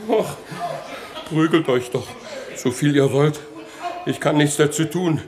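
A man playing a foolish, excitable rich bourgeois in an old German radio comedy, with a flustered, exclamatory way of talking. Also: a little high, measured pace, natural voice. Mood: giving up.

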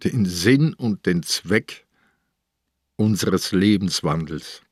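An actor playing a sick, irritable and stubborn old police inspector in a radio drama. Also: male voice, low voice, expressive, slow, no echo, clean studio sound, full character voice. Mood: baffled. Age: older. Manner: gruff, exasperated, confrontational.

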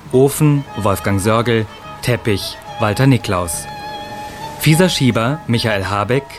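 Male announcer for radio drama credits, mid-pitched, formal, music underneath.

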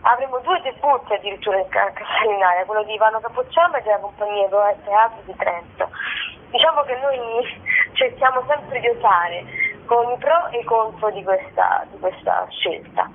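A woman giving a spontaneous, enthusiastic radio interview as a festival co-director, wordy and halting, with repeated words. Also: mid-range voice, husky. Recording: tinny, narrow telephone line on radio.

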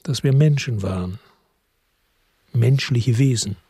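A man's voice: medium pitch, takes it slowly, warm tone, husky, dry recording, studio quality, heavily acted. An actor playing a world-weary middle-aged ex-cop who narrates his story in the first person in a radio drama, laconic and reflective.

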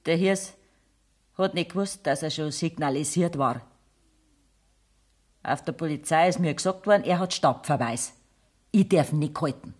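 A woman's voice: low voice, moderate pace, long pauses, a little mellow, slightly rough. An actress playing a bitter, careworn rural mother with a Bavarian accent in a radio play, plain-spoken and aggrieved. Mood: resigned. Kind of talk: German radio play acting in dialect.

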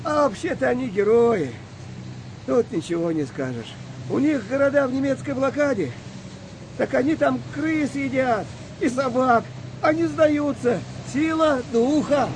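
A seasoned sailor on a wartime convoy in a radio play, with a very high voice for a man. He talks roughly and plainly, yet muses like a philosopher, over clearly audible engine-like noise. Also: lively intonation, a little slow, heavily acted. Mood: reflective. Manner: colloquial, rough-spoken, reflective. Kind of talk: audio play acting.

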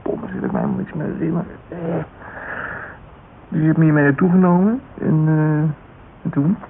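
Tinny-sounding, hungover Dutch bloke. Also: male voice, on the high side, huge pitch swings, gravelly, heavily acted. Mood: embarrassed, probing. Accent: Netherlands Dutch. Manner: hesitant, slangy, halting speech. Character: hungover, befuddled, cocky man, clumsily flirtatious. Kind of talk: radio drama dialogue. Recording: tinny, noisy old radio drama recording.

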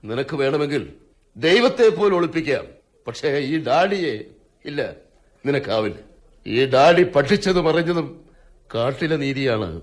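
A man playing a cunning, domineering older father, a retired forest ranger, in a radio drama, speaking in a stern, probing, measured way. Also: a little high, expressive. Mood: sly, menacing. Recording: radio drama with music behind.

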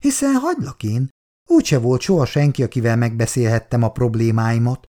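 A man's voice: medium pitch, expressive, mellow, natural voice. A man voicing a worried, reproachful elderly wife who keeps nagging her sleepy husband.

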